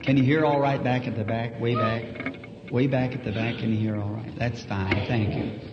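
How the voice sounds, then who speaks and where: A soft-spoken, humble man preaching in a church with a slightly hoarse voice and folksy asides. The old recording rings with long hall reverb and sounds dull and lo-fi. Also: mid-range voice, fairly even intonation, normal speed, very noisy. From United States. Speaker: evangelist preacher. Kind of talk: sermon. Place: church auditorium.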